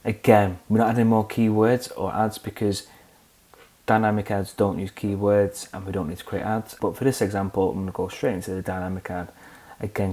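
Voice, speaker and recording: A young man hosting a screen-recorded software tutorial, calmly walking through each click step by step. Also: deep voice. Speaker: tutorial host.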